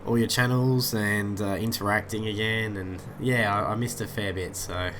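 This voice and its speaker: A man's voice: on the low side, steady tone, normal speed, noisy background. Stuffy-nosed man rambling over a flight sim. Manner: rambling, many "uh" fillers, easily sidetracked. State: nasal from a head cold. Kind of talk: casual gameplay commentary.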